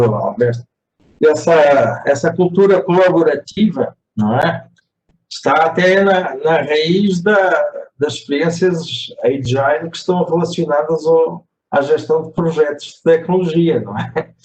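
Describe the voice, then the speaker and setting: A man from Portugal with a European Portuguese accent, moderating a webinar in a measured, reflective way, with hesitations and tag questions. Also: a little high, darkish tone, muffled recording. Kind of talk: webinar discussion. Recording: echoey room, distant mic, faint noise.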